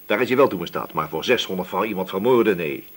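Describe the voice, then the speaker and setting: An actor in a radio play voicing a shrewd, patient police inspector who probes casually in a musing, hesitant way. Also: male voice, low-pitched, lively intonation, fast talker, slightly rough. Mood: musing, assured. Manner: musing, hesitant probing with repeated questions. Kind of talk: radio drama dialogue.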